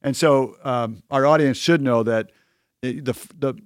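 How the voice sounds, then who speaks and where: Male interviewer, encouraging, mid pitch, dry close recording. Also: unhurried, no room sound. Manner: encouraging, asks follow-up questions. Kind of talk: conversational interview.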